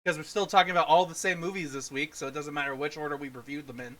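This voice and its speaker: Bright, forceful podcast host. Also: mid-range voice, fairly even intonation, rapid-fire. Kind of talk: casual chat reviewing films on a livestream podcast.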